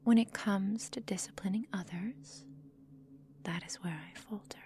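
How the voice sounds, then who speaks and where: A woman acts out an immortal vampire mistress in an ASMR roleplay, whispering slowly and deliberately right by the microphone. Her tone is mellow and dark, with a breathy menace and formal, condescending diction. She sounds possessive and coolly doting, over a faint bed of noise. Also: medium pitch, fairly even intonation, close to an everyday voice. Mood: controlled menace.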